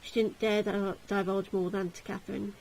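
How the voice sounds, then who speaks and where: A woman hosting a game playthrough, reading a visual novel aloud with expressive, theatrical narration. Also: medium pitch, moderate pace, a little hiss or hum, poor audio.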